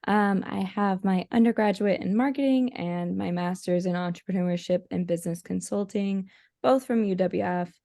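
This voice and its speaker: A woman's voice: medium pitch, moderate pace, vocal fry, dark tone, dry recording. A woman in her twenties, a salon owner on a panel, speaking casually with fillers. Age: 20s. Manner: casual, with fillers like you know.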